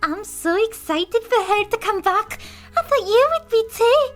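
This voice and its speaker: A woman's voice: very high-pitched, animated, a little slow, a bit bright, soft background music, voice pushed up, full character voice. Woman doing a chirpy young goddess girl. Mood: eager. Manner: eager, chirpy and bubbly.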